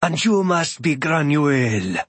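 A man's voice: a little high, big pitch swings, slightly rough, dry recording, pitched above the performer's own voice, full character voice. Shango, a man playing a courtly thunder god. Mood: courteous. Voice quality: formal, measured speech without contractions. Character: polite god of thunder, bare-chested warrior.

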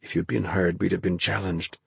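A young man in a storybook adventure as acted by a male narrator, hushed and effortful. He grunts his words out under strain yet stays wry and polite. The voice is clean of room sound. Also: mid-range voice, moderate pace, slightly rough, no room sound. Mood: whispering, uneasy.

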